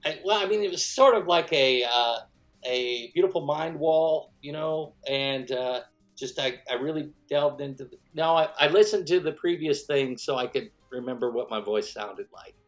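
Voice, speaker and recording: A man voice actor describing his preparation in a halting, thoughtful way during an interview. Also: fairly high pitch, faint music behind. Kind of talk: interview recollection.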